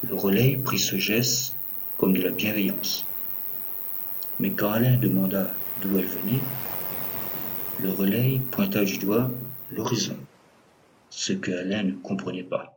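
A man's voice: sits low, measured pace, pauses often, slight background noise, low-quality recording. A man narrating a scripted audio fiction as a storyteller.

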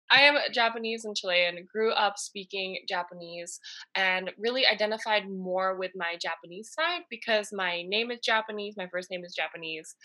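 A woman speaking as a guest in a relaxed conversation, earnest and quick to laugh. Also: medium pitch. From United States. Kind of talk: casual conversation.

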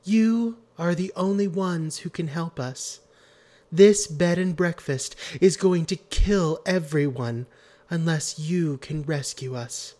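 A gentle, earnest woman voiced by a man in an audiobook story. The pitch sits well above plain narration, and the delivery is soft and grave. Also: slow. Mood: grave, wondering. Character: earnest, gentle, sad woman amateur detective. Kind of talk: audiobook character voice.

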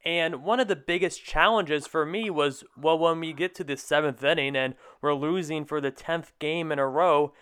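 Young man, podcast host, slightly high pitch, crisp clean tone, conversational interviewing, rambling questions. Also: moderate pace, few pauses, fairly bright tone. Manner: long, meandering questions.

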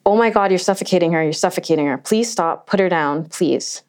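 A woman's voice: on the low side, a little fast, a little mellow, natural voice. A woman voicing a frightened mother begging robbers to spare her children, in a shaky voice. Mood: panicked. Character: terrified young mother pleading for her children.

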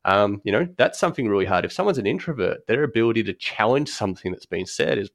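Low-voiced man explaining accessible design. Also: lively intonation, fast talker, no room sound, studio quality. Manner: thoughtful, frequent ums and restarts. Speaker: guest expert on accessible design. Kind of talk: conversational podcast explanation.

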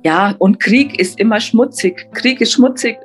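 A woman recalling things in a spontaneous, reflective interview, halting as she thinks aloud. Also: fairly high pitch, faint music behind. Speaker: eyewitness interviewee.

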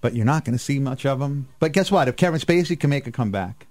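A man's voice: medium pitch, lively intonation, fast, a little mellow. A man hosting a casual radio panel discussion, opinionated and emphatic. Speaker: radio show host.

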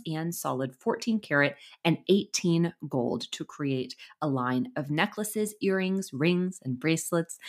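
A young adult woman hosting a podcast interview, reading an introduction and reacting with upbeat, effusive enthusiasm. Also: deep voice. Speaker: podcast host.

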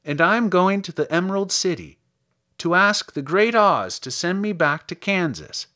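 A man voicing a polite, kind-hearted little girl in a storybook, speaking gently and plainly. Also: high-pitched, expressive, moderate pace, pitched above the performer's own voice. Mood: matter-of-fact.

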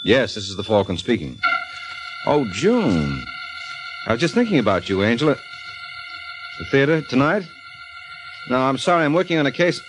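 A suave, flirtatious private detective, played by a man in vintage 1940s radio style. His delivery is wry and swings widely in pitch, with music clearly playing behind him. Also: big pitch swings, normal speed, pauses often, close to an everyday voice, low-quality recording. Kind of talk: 1940s radio drama acting.

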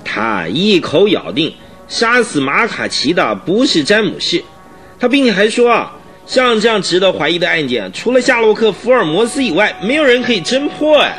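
An actor playing a chatty, excitable police inspector in a radio drama, exclaiming and sighing as he talks. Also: high-pitched, normal speed, faint music behind. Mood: earnest, emphatic. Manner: exclamatory, full of sighs and interjections. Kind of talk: Mandarin radio drama acting.

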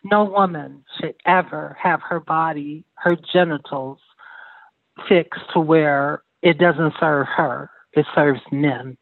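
A woman's voice: deep voice, takes it slowly, gravelly, dry recording. A woman from Sudan, speaking bluntly and at length as a guest in a candid personal interview. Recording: tinny dry phone line.

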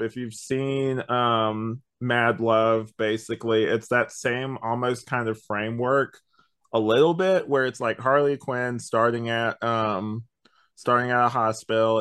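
Smooth-voiced man chatting on a podcast. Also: mid-range voice, fairly bright tone. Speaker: podcast host. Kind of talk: casual review chat. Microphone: online video call recording.

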